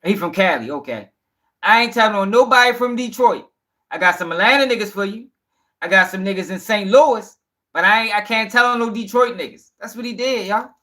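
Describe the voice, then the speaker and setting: A man's voice: high voice, brisk, pushed and bright. A man hosting a casual livestream, commenting bluntly in slang with swearing and repeating himself for emphasis. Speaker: host.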